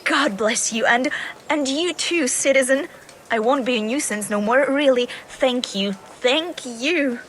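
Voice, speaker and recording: A woman's voice: sits high, a little slow, a bit bright, slight background noise. A woman voicing a downtrodden, stubborn medieval beggar in a video game, with a plaintive manner. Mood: grateful. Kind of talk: dramatic game cutscene dialogue.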